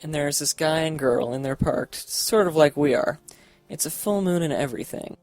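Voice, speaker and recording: A man's voice: on the high side, moderate pace, darkish tone, dry recording, soft background music, lightly acted. A man playing a teasing young boyfriend in an audio horror drama, telling scary stories in a casual, eager way. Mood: teasing, lurid.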